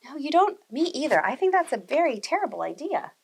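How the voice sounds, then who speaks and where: A woman reading a storybook aloud to young children expressively, pausing to ask and explain things. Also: sits high, sing-song, creaky. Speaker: children's storyteller, preschool teacher. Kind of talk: animated storybook read-aloud.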